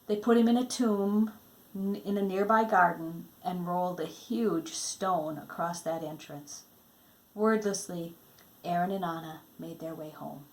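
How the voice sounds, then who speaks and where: A woman reading a Christmas storybook aloud in an expressive, dramatic way, letting phrase endings fall hushed. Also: fairly low pitch, a little slow, poor audio. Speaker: storybook reader.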